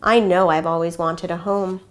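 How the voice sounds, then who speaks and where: A woman's voice: fairly low pitch, steady tone, normal speed, pitched below the performer's own voice, lightly acted. A woman voicing a small, innocent toy bear in a storybook, earnest and full of wishful wonder. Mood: content, wistful.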